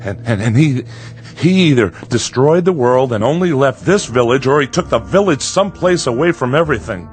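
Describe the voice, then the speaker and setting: Man acting a nervy small-town father, wildly swinging pitch. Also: expressive, a little fast, faint music behind, close to an everyday voice. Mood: bitter, despairing. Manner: folksy, forced cheer, pleading. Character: frightened small-town father, nervously cheerful, cracking into bitterness. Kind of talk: radio drama acting.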